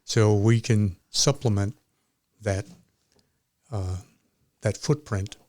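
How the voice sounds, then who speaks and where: A man speaking as an interview guest, explaining in a measured, slightly hesitant manner. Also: lowish voice, a little mellow, dry recording, studio quality. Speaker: amateur radio club member, guest.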